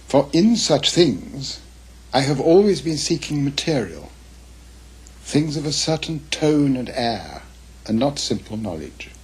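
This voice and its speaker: An older man with an English accent speaks at a middling pitch. His voice is strongly husky and worn. He reminisces and reads aloud with measured, literary emphasis. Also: a little mellow, low-quality recording. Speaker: author's son giving reminiscences and readings. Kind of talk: reflective reminiscence and literary reading of letters.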